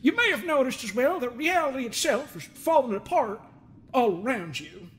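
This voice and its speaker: Smug middle-aged cosmic therapist man, played by a woman, mock-stern and folksy, very animated pitch, comedic game dub over prominent music. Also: normal speed. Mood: mock-clinical. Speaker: fan dub voice performer. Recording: music under the voice.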